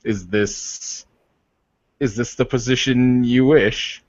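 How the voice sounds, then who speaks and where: A man playing a stoic, formal warrior with a measured, deadpan delivery and a wryly mocking streak in a tabletop roleplay. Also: medium pitch, no echo, highs cut off, natural voice. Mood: formal, firm.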